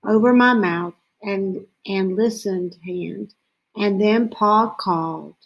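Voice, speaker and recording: Woman storybook reader, very slow and deliberate, medium pitch, pausing often between phrases. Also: rough recording. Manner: unhurried, deliberate phrasing with frequent pauses. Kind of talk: scripted children's story read-aloud.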